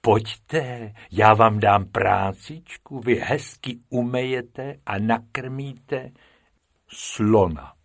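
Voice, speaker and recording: A man voicing a jolly, mocking middle-aged zookeeper who talks loudly and laughs at others. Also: medium pitch, no room sound, clean studio sound, close to an everyday voice.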